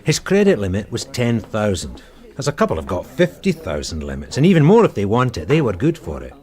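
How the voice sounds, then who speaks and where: An actor with a British accent playing a tactful, steady detective sergeant who explains things frankly in a radio drama. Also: male voice, lowish voice. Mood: explanatory, tactful. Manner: measured, explanatory, deferential yet frank. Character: steady, tactful male detective sergeant advising his superior.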